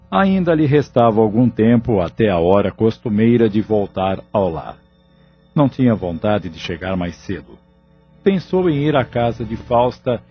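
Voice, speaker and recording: A man's voice: mid-range voice, breathy edge. A man reading scripted, dramatic narration for an audio novel. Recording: muffled audio drama recording with music.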